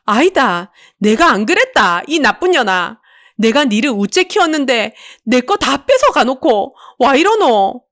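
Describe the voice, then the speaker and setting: A woman's voice: high-pitched, sing-song, a little slow, fairly bright tone, no echo, voice pushed up, heavily acted. A betrayed elder sister from an audiobook melodrama, acted by a woman narrator, pleading in a Busan accent. Her anguished wail rises and falls sharply, recorded close and dry. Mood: wailing despair. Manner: wailing, pleading protest. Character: betrayed, anguished older sister, a poor street vendor.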